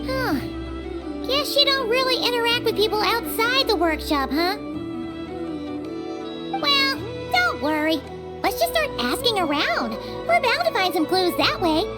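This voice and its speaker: A woman voicing a tiny floating female companion in a video game, blunt and teasing, quick to take charge, with game music behind. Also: very high voice, big pitch swings, heavily acted.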